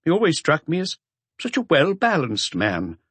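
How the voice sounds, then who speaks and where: A courteous, forthcoming witness in a crime audiobook, acted by a male narrator. The pitch is lifted above the reader's low register, and the sound is close and dry. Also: huge pitch swings, normal speed, fairly bright tone, slightly rough, no room sound, heavily acted. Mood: polite, earnest. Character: polite, helpful male shop witness.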